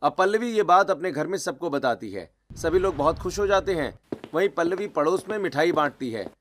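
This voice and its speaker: A man's voice: fairly high pitch, lively intonation, brisk. A man reading scripted narration of a family story as the storyteller.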